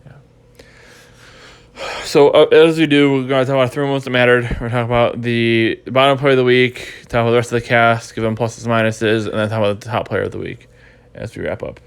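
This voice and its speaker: A man's voice: medium pitch, level tone, fairly quick, smooth. A stuffy, congested man hosting a casual podcast recap, rambling and repeating himself as he thinks. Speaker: podcast host.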